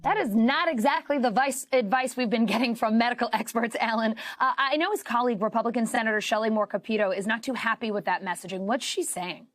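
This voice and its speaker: A woman's voice: on the high side, fast, hardly any pauses. An American woman working as a news anchor, presenting stories and putting questions in a conversational broadcast style.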